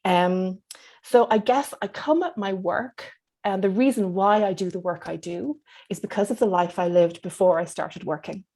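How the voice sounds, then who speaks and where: An Irish woman in her fifties speaking as an interview guest, in an articulate, measured and reflective way. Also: mid-range voice, darkish tone. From Dublin, Ireland. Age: 50s. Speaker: psychotherapist being interviewed.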